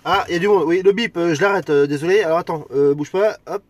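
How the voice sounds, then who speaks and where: High-voiced man on CB radio. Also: fast talker, slight background noise. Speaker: amateur CB radio operator. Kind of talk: radio calls and casual chat.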